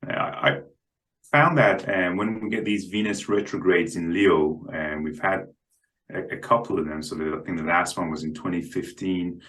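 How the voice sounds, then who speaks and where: A man's voice: low voice, fairly even intonation, normal speed, a bit bright. A man speaking as a guest analyst in unscripted market commentary, hesitant and often repeating his words.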